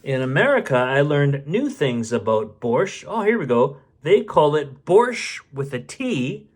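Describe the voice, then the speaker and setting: A man's voice: on the high side, sing-song, measured pace. A man reading a storybook aloud expressively, with casual muttered asides as he goes. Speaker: bedtime story reader.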